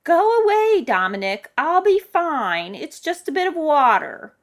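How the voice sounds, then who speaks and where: A woman's voice: high voice, sing-song, measured pace, pitched above the performer's own voice. A woman voicing a stubborn, sarcastic elderly woman with a snappy, dismissive manner in a story reading. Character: stubborn, feisty, sarcastic elderly woman, tiny cat lover. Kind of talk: audiobook character voice.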